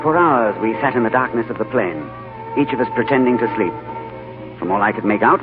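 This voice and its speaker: An actor playing a calm, courteous English diplomat adventurer, in 1940s radio drama style, telling his tale with composed understatement. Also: medium pitch, lightly acted. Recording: tinny 1940s radio broadcast, loud music.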